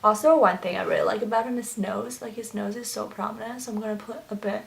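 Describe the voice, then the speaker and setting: A woman's voice: mid-range voice, fairly even intonation, fast talker, vocal fry. A young woman whose first language is Chinese, chatting through a makeup tutorial in a gushing, filler-heavy way. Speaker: beauty vlogger. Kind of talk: get-ready-with-me makeup tutorial chat.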